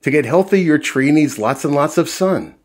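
A man voicing an upbeat, helpful male tree doctor in brisk, cheerful rhyming patter. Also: a little high, animated, husky.

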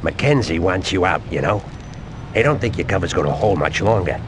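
A man's voice: low voice, expressive, brisk, background noise. An actor playing a male street-vendor informant in a video game, talking in a plain, cautioning way. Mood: warning.

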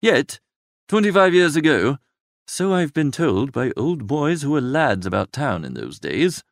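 High-pitched, animated male storyteller. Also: measured pace, gravelly, no echo, studio quality. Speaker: audiobook narrator. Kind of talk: expressive first-person literary narration.

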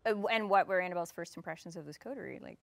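A woman hosting a casual talk show, chatting in an excitable, joking way. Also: on the low side, rapid-fire, vocal fry, no room sound, clean studio sound. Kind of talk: casual chat. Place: indoor studio set.

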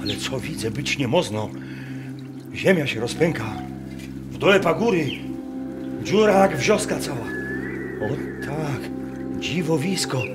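A simple Masurian peasant woodcutter, caught up in his visions in a radio drama. He speaks slowly in halting rustic dialect, breaking into exclamations, with music behind him. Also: fairly high pitch, lively intonation. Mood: awed. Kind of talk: radio play acting. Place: wooden barn, staged with musicians.

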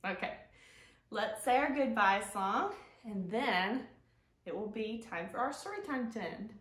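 A woman in her thirties hosting a children's storytime, speaking in a gentle, sing-song, drawn-out way to young viewers. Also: mid-range voice, expressive. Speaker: library storytime host. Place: living room, indoors, on a couch. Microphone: camera a few feet away. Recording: roomy echo from camera distance.

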